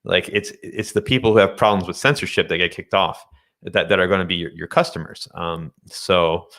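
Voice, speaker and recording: Casual male guest, very low pitch with a forceful edge, thinks aloud in halting phrases. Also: fairly even intonation. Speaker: guest, day trader. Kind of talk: casual discussion of finance and tech.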